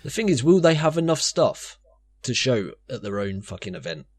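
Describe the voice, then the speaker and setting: A man's voice: medium pitch, very animated, moderate pace, breathy edge, dry recording. A man co-hosting a podcast, giving opinions on games in an informal, chatty way. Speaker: podcast co-host. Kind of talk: casual panel chat about games.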